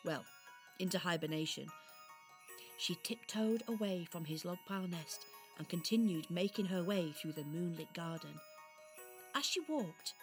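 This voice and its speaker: A woman storyteller gently narrating a scripted children's radio play in a descriptive way. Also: fairly low pitch.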